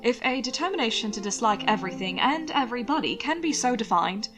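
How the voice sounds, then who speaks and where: A woman voicing a wry, sharp-witted young man in a period audiobook, arch and a little sour. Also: on the high side, animated, fast talker, soft background music. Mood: dry. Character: arch, sour, witty twenty-year-old Regency young man.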